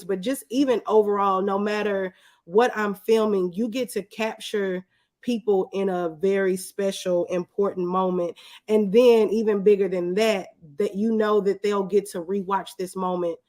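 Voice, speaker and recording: A woman's voice: mid-range voice, fairly even intonation, unhurried, darkish tone. A woman answering as an interview guest in a conversational, anecdotal way, acting out bits of dialogue as she talks. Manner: anecdotal, acts out quoted exchanges. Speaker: guest, videographer.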